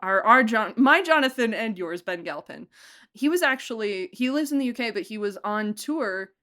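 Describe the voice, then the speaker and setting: A woman's voice: on the high side, lively intonation, normal speed, sharp tone. A woman chatting casually and effusively as a podcast guest, talking as a producer and director and often breaking into laughter. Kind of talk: casual behind-the-scenes interview chat.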